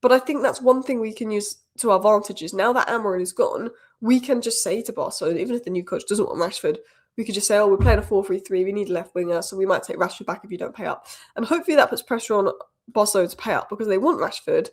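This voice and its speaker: Fast-talking woman with a cold. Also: medium pitch, slightly rough. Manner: opinionated, rapid-fire fan commentary. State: has a cold, slightly croaky. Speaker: football channel host. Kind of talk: fast solo news roundup.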